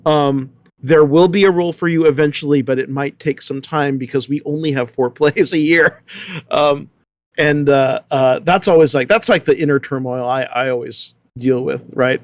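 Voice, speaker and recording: A man's voice: on the high side, moderate pace, no room sound, studio quality. A man speaking casually in a panel discussion, thoughtfully circling back over his points as he works through them. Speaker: theater company leader on a panel.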